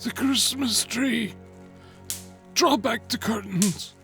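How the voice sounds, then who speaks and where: An older man playing a dying old father in an audio drama, husky and breathy, crying out slowly and fervently at a heavenly vision. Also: extremely high pitch, sharp tone, faint music behind, heavily acted. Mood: rapt wonder. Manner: slow, fervent exclamations.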